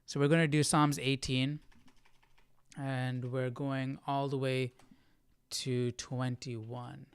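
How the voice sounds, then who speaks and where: A man hosting an informal Bible study, teaching casually and thinking aloud with halting, repeated phrases. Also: mid-range voice, flat delivery, clean tone, no room sound. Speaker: host of a Bible study live stream.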